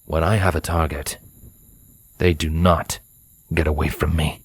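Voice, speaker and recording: A man voicing a cold, proud male pony assassin with a haughty, measured, formal way of speaking. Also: extremely low pitch, little pitch movement, breathy edge, voice pushed down, heavily acted. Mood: cold, dismissive.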